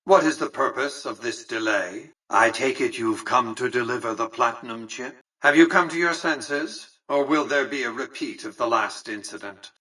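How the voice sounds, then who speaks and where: Imperious video game tycoon, formal, condescending, calculating, sharp forceful tone, rough-edged voice. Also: male voice, medium pitch, moderate pace, hardly any pauses, far from the mic. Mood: cool, measured. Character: calculating, imperious male casino tycoon and would-be autocrat. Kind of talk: scripted video game dialogue.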